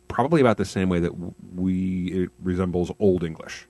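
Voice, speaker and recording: Low-voiced canadian guy, dry close sound, excitable and stumbly chat. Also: brisk, no echo. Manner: animated, stumbles and repeats words. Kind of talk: casual conversation.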